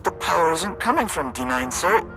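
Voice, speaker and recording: A woman's voice: low voice, very animated, moderate pace, creaky, over music, heavily acted. A woman acting a nervous, deferential technician in a video game, hesitant and anxious.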